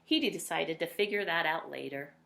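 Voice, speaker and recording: A woman reading a children's picture book aloud, with dramatic emphasis and drawn-out words. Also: deep voice, creaky, bright, forceful tone. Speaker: read-aloud storyteller.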